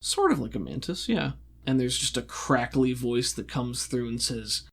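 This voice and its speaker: A man's voice: mid-range voice, lively intonation, moderate pace, creaky. A man game-mastering a tabletop roleplaying game, improvising descriptive narration and searching for his words as he goes.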